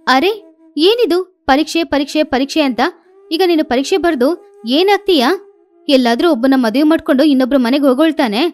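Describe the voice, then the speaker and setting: A woman's voice: sits high, brisk, close to an everyday voice. A woman voicing a cartoon mother sparrow who is bossy and talks in a nagging, scolding way.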